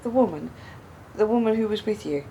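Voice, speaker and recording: A woman's voice: fairly quick, gravelly. An actress in a radio play playing a bereaved, wounded woman who questions pointedly with controlled bitterness. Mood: probing. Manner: probing, pointed, echoing words back. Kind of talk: naturalistic radio play dialogue. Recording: steady background noise, low rumble.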